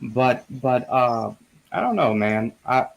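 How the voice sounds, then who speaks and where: A man speaks at an ordinary pitch on a casual online panel. He hesitates a lot, repeating words and filling gaps as he works through his point. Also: lively intonation, takes it slowly, creaky, highs cut off. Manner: hesitant, many restarts. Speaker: panel guest.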